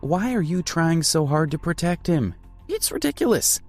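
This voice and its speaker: A man's voice: on the high side, very animated, normal speed, soft background music, voice pushed up. A man voicing a ruthless, arrogant young male heir who talks in a cold, dismissive way. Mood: cold, scornful.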